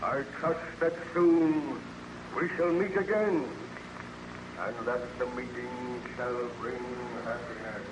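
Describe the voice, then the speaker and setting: A man's voice: medium pitch, slow pace, natural voice. An actor playing a hideous man-made monster, pleading and vengeful, in a solemn, declamatory old-time radio horror style. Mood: ominous. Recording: noisy vintage radio recording.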